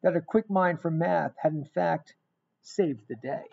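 A middle-aged man reading a rhyming picture book aloud in an expressive, emphatic storytelling manner. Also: on the high side, unhurried, gravelly, husky. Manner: emphatic, dramatic storytelling with repeated phrases. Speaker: guest reader of a children's book. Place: small indoor room. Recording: dull, lo-fi webcam sound.

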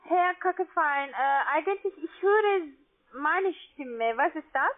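Female telesales agent, non-native with foreign accent, high voice, halting delivery, phone-line sound. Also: expressive. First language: not German. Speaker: call centre account agent selling online trading. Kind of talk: phone sales pitch. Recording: tinny, narrow phone line.

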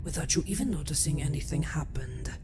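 A woman's voice: deep voice, lively intonation, quick pace, music in the background. A woman playing a cocky, teasing alien markswoman in an audio drama. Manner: bragging, teasing, drops alien words. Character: cocky female alien sharpshooter.

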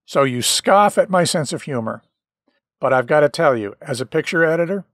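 Middle-aged guy doing a screen tutorial, kinda high voice, dry close sound, wry jokes. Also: normal speed, no room sound. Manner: relaxed, dryly joking explanations. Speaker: software tutorial presenter. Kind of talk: screen-recorded software tutorial.

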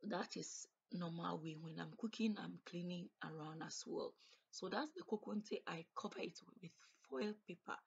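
A woman narrates her cooking in a relaxed, chatty way, describing each step as she works. Her voice sits a little low and has a breathy, husky edge. It sounds like a home kitchen recording. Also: normal speed, highs cut off. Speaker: cooking vlogger. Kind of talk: casual cook-along narration.

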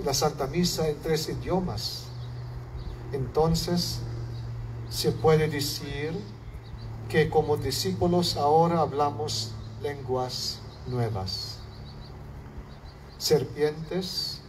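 Slow-preaching Filipino priest outdoors. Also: male voice, a little high, darkish tone. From Philippines. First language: Hiligaynon. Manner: slow, measured preaching with falling phrase ends. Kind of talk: homily. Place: outdoor altar under a canopy. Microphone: far camera, mic at lectern. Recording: distant outdoor recording with faint background noise.

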